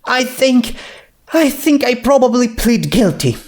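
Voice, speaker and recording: A man's voice: very high-pitched, big pitch swings, a little slow, pitched above the performer's own voice, exaggerated voice. A man voicing an anxious, bookish young female unicorn who speaks in a hesitant, apologetic way, in a story reading. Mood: resigned.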